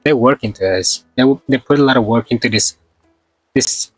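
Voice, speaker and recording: A man's voice: medium pitch, normal speed, faint music behind, rough recording. A man giving casual, rambling live commentary as he streams a game, repeating himself and swearing mildly, with a slightly sore throat.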